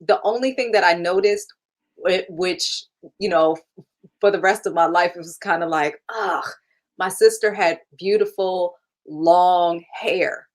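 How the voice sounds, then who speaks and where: A woman's voice: on the low side, measured pace. A woman in her thirties from Germany, raised speaking German, telling her life story as a guest in a casual interview, candid, with frequent laughs and fillers.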